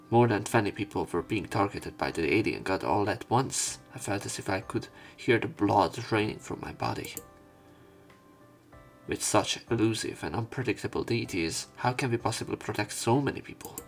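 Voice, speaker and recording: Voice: medium pitch, animated, normal speed, faint music behind. A streamer reading visual novel text aloud with casual commentary, restarting misread lines and swearing now and then.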